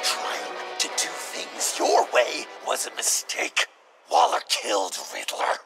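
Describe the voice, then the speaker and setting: An actor voicing an unhinged, theatrical young villain in a video game, volatile and dramatic, lurching between whispers and shouts. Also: male voice, slow, close to an everyday voice. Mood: bitter, raging. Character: unhinged, theatrical young male villain craving approval and vengeance. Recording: loud music underneath.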